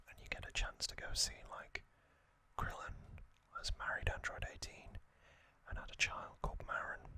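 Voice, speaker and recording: A man whispering an unhurried ASMR recap, with soft pauses and frequent ums. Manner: unvoiced whisper, slow and relaxed with frequent ums. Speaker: ASMR channel host.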